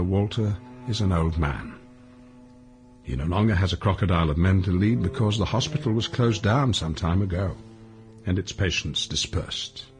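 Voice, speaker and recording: A man as the narrator of a radio play, telling a bleak life story in a deliberate, matter-of-fact, sober way. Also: low voice. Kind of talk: scripted radio drama narration.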